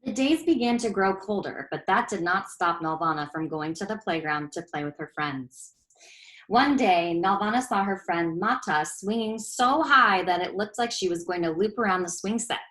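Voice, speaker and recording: A woman reading a children's picture book aloud as a storyteller, expressive and clearly phrased. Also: mid-range voice, normal speed, low-quality recording.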